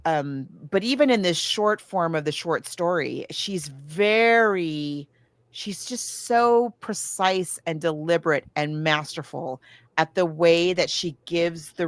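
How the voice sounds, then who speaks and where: A woman with a fairly low voice talks like a podcast host in a casual book discussion. She is chatty and enthusiastic, leaning on emphatic words. The sound is very dry, with no room echo.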